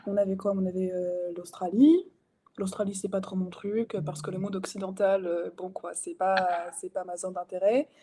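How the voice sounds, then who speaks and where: Young female guest, relaxed and giggly, warm tone. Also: mid-range voice, normal speed, slightly rough. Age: young adult. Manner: spontaneous, laughs easily, hesitant. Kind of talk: casual interview chat.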